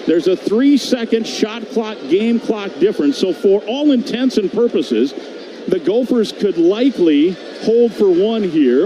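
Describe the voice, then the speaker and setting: A man giving live radio-style basketball play-by-play as a commentator, with noisy arena ambience behind him. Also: high-pitched. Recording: clear background noise.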